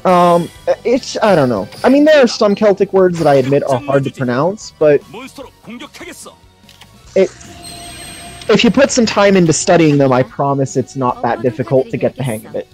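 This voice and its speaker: A young man chats casually with faint music behind him. His pitch moves around a lot as he explains things earnestly, pausing to find the right wording. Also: expressive, darkish tone. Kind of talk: casual chat with explanatory asides.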